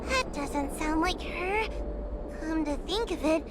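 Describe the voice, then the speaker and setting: A woman's voice: very high voice, expressive, slow pace, heavy background noise, full character voice. A woman playing a timid, polite young girl in a video game, anxious but earnest, speaking in a hesitant, questioning, childlike way. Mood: thoughtful. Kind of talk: video game character dialogue.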